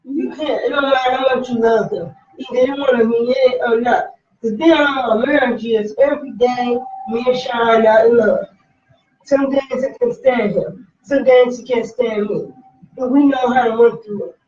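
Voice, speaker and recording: A woman in her forties with a high voice speaks frankly and bluntly, in a casual, chatty way. She sounds distant in an echoey room on a dull, lo-fi recording. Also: moderate pace, a little mellow. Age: 40s. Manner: frank, blunt about relationships. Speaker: podcast host. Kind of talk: casual chat.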